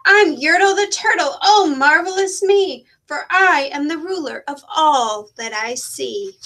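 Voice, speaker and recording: A boastful turtle king from a children's storybook, acted out by a woman reader. The voice is high and forceful, with unhurried, pompous delivery. He exclaims and orders like a bossy ruler who thinks very well of himself. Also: expressive, heavily acted. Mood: exultant. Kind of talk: children's storybook read-aloud.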